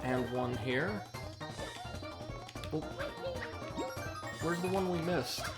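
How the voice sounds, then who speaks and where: A man's voice: fairly high pitch, drawn-out words. Rambling American man streaming a game. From United States. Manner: rambling, self-deprecating, punning; reads out chat messages. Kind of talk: casual live commentary while gaming. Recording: game music underneath. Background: video game music.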